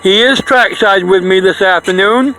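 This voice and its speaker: A man hosting a casual railfan video outdoors beside the tracks, speaking in a halting, hesitant way. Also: bright, forceful tone. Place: outdoors beside a railroad crossing. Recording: noisy outdoor recording with train rumble. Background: passing train and outdoor noise.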